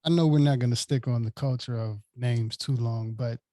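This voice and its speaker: Male podcast host, mid-pitched, casual and friendly. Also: steady tone, normal speed, warm tone, dry recording, studio quality.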